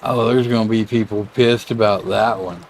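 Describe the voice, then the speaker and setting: A man's voice: lowish voice, steady tone, normal speed, a little hiss or hum, poor audio. A man chatting casually and informally on a live stream, with colloquial phrasing.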